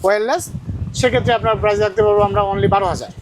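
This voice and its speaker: A high-voiced Bangladeshi man gives an informal sales demonstration, repeating phrases and prices as he goes. He talks quickly, and the recording has clear background noise. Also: fairly even intonation. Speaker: electronics shop seller. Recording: clearly noisy audio with clicks.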